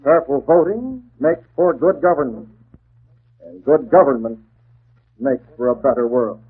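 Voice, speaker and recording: Stern, husky masked vigilante, muffled old radio. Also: male voice, medium pitch, expressive, normal speed. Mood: earnest, preaching. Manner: clipped, commanding questioning. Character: stern masked vigilante posing as a crime boss. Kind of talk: 1940s radio acting. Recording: muffled, scratchy 1940s radio broadcast.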